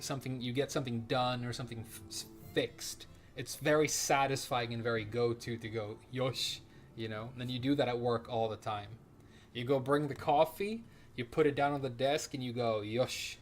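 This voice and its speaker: Give me a man with an average-pitched voice rambling casually on a game stream. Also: steady tone, normal speed, a little hiss or hum. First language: Japanese. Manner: rambling, swears casually, reads chat questions aloud. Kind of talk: casual live-stream chat while playing.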